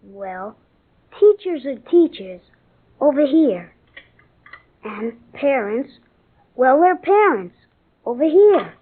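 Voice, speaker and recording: An anxious, stubborn American schoolboy, plaintive and earnest. The voice is high and very lively in its swings, heard through a thin, tinny old broadcast. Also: slow pace, long pauses, heavily acted. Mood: pleading, anxious. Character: anxious, stubborn schoolboy son, pleading and interrupting. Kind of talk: mid-century radio comedy acting.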